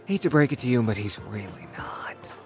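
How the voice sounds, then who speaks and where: A man's voice: fairly low pitch, very animated, normal speed, soft background music. A man playing an outspoken, principled young friend with a dry manner in an audio drama. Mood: scornful.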